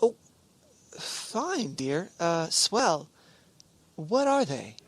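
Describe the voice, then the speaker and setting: A woman's voice: lowish voice, sing-song, drawn-out words, heavy background noise, full character voice. A woman playing a fussing, doting and easily bewildered husband in an old-time radio comedy.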